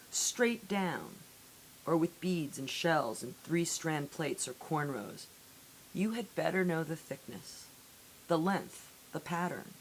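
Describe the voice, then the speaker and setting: A woman reading poetry aloud in a solemn, deliberate way with measured phrasing and dramatic pauses, on an amateur recording. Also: low-pitched, low-quality recording. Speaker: poetry reader. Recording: amateur recording with audible hiss.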